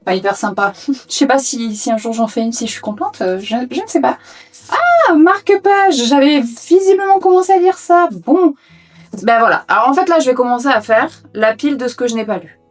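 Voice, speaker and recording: A woman whose first language is Portuguese talks casually to her viewers while sorting books in her own room. Her voice sits a little high, and she keeps up a chatty, self-mocking stream of playful asides. Faint music plays behind her. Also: expressive, a little fast. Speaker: book vlogger. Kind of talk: casual chat while tidying.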